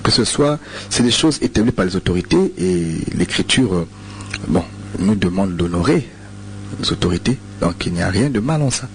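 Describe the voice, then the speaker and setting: Man, Congolese French accent, low voice, lively intonation, radio preacher, hesitant with repetitions. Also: normal speed, slight background noise. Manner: hesitant, many repeated words and fillers. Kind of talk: radio teaching answering listener questions. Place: radio studio.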